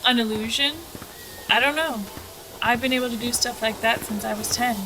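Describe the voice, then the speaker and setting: A woman's voice: a little high, fairly even intonation, moderate pace, background noise, natural voice. A woman playing a cocky, teasing airship pilot and trickster in an audio drama, sly and flippant. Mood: playful, boastful. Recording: music behind the voice.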